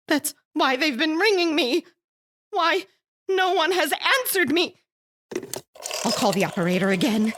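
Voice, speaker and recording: A very shrill, hysterical wife. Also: female voice, fairly bright tone, husky, heavily acted. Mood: anxious, agitated. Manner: imperious, hysterical, theatrical. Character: frantic, demanding, nervous married woman alone at night. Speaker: actor in a volunteer radio drama. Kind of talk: melodramatic radio thriller acting.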